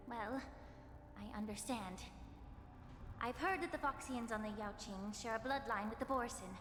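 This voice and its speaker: Woman acting poised, high-voiced, echoing game heroine. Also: noisy background, lightly acted. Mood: probing, thoughtful. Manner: formal, deliberate, composed. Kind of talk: scripted video game voice acting. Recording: echoey hall reverb over clear music.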